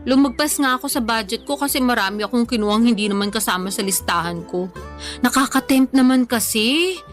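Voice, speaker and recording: A woman's voice: a little high, a little fast, natural voice. An actress playing a playful, food-loving young woman in a Tagalog radio drama, chatting casually in Taglish with a Filipino accent and a teasingly defensive manner. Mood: playful, insistent. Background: background music.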